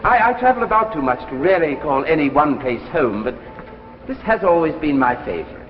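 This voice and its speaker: An actor playing an older, courteous and persuasive successful author in a 1950s radio drama, with a theatrical, gracious manner. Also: male voice, fairly high pitch, sing-song, a little fast, faint music behind. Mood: gracious, welcoming. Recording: muffled, hissy old radio recording, reverberant.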